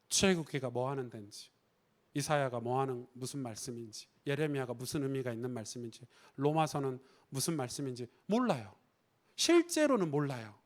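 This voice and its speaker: A man's voice: mid-range voice, sing-song, moderate pace. A man preaching a Sunday sermon as a pastor in a church, talking conversationally and asking the congregation rhetorical questions. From Korea. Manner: conversational, rhetorical questions, acts out quoted voices.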